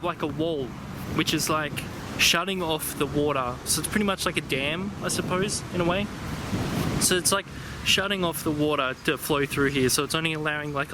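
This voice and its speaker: A young Australian man from Tasmania talking casually into a handheld camera while walking outdoors in windy weather, with lots of fillers and quick bursts of excitement. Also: a little high. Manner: chatty, filler-heavy, easily excited. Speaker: travel vlogger. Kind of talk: casual vlog narration. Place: outdoors, windy riverside in a small town. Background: loud music.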